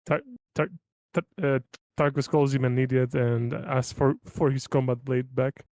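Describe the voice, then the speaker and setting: A man's voice: mid-range voice, steady tone, measured pace, a little mellow, no room sound. A man chatting casually as a tabletop game player, tentative and often repeating his words.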